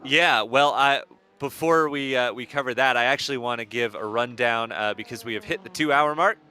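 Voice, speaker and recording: A man commentating a sim race on a live stream, friendly and halting, with many fillers as he runs down the standings. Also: medium pitch, pushed and bright, smooth.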